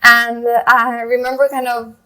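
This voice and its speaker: A woman's voice: a little high, little pitch movement, normal speed, sharp tone. A woman in her thirties from Chile, a journalist guest telling her story in a rambling way, full of fillers and restarts. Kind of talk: casual interview talk.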